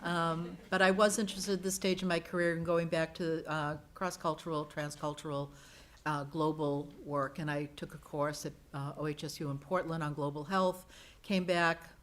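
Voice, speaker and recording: A woman's voice: deep voice, little pitch movement, moderate pace, a bit bright. A middle-aged woman on a panel, telling an anecdote about how she came to her work. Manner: anecdotal storytelling. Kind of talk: panel discussion.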